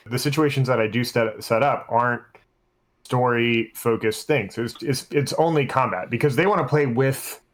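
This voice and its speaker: A man co-hosting a casual tabletop gaming discussion as a game master, telling stories in a rambling, freely swearing way. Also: medium pitch. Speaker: podcast co-host and game master; works at a school.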